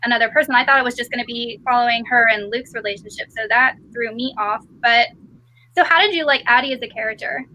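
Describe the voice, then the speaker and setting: A woman with a bright, fairly high voice hosts a relaxed live book chat over a video call. She talks casually with lots of fillers and laughs often. A faint hiss sits under her voice. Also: quick pace, rough recording. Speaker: live show host.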